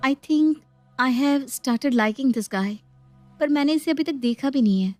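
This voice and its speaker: A woman's voice: sits high, slow, a little mellow, dry recording, soft background music, voice pushed up. A woman voicing a friendly, self-conscious young woman who mixes English into chatty Hindi. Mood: smitten, worried.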